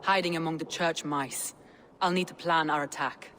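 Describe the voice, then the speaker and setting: A woman voicing a stern, measured Danish clan leader in a cinematic video game. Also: low-pitched, steady tone, a bit bright, slight background noise. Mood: grave.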